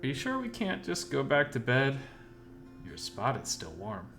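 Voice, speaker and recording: A sleepy, affectionate man played by a male narrator in a visual novel. He talks in a drowsy, flirty way at an average pitch. Quiet music plays behind him. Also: wildly swooping pitch, normal speed, gravelly, full character voice. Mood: sleepy flirting.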